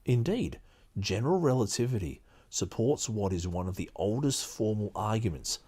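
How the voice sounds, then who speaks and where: Guy with a lowish voice doing podcast commentary, kinda halting but emphatic. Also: lively intonation, dark tone, husky. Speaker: podcast host reading a book aloud with commentary. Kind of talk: casual commentary mixed with read-aloud passages.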